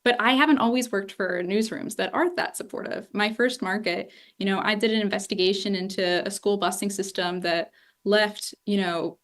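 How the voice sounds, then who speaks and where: A woman in her twenties from Northeast Ohio, a political journalist speaking candidly and animatedly in a lecture room, with many asides like "you know". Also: mid-range voice. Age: 20s. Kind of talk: public talk answering questions.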